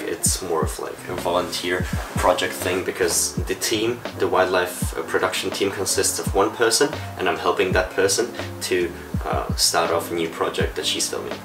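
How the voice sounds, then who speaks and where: A young man with a deep, low voice chats easily through viewer questions, mixing in casual asides. The room has a noticeable echo, and background music plays clearly throughout. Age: young adult. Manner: relaxed, chatty, switches between Russian and English. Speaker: vlogger answering viewer questions. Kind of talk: casual Q&A vlog talk. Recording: roomy echo with music behind.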